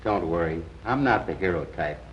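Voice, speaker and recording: A man's voice: deep voice, expressive, moderate pace. A man playing a wry, casual secret agent being briefed in a 1940s training film. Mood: dry, casual. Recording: muffled, noisy 1940s film soundtrack.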